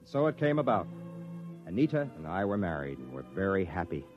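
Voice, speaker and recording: A cunning American misfit telling his story in an old radio play. His low voice swings widely between wry narration and bold declaration. The recording is dull and dated. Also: big pitch swings, a little fast. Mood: wry, reflective. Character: cunning, cynical male misfit, narrator and bluffing lover. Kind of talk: 1950s American radio drama acting, narrating. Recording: muffled old radio recording, faint music behind.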